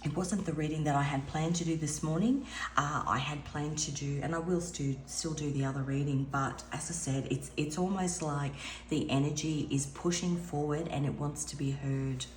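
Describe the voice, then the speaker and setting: A woman with a raspy voice giving an earnest, meandering spiritual card reading at home as an intuitive tarot reader. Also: low-pitched, steady tone, normal speed. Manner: meandering, earnest, with frequent restarts. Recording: echoey room with faint background noise.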